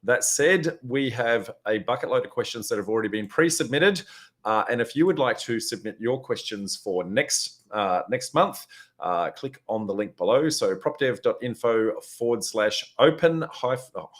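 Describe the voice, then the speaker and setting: A man with a slightly raspy, hoarse voice, hosting a live question-and-answer session in a chatty way with lots of filler words. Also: medium pitch, lively intonation, moderate pace. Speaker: host.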